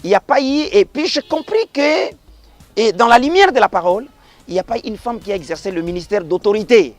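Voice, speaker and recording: A middle-aged man from the Congo Central region of the DR Congo, a pastor speaking earnestly in an interview, rising to emphatic passages and dropping to quiet blessings. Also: sits high, animated, fairly quick, no room sound. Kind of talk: formal interview remarks, preacherly.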